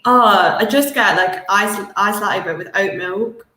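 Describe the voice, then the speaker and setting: A woman's voice: medium pitch, measured pace, a crowd in the background. A woman hosting a webinar over a video call, casual and bubbly, laughing often. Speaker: webinar host. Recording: echoey room on a video call.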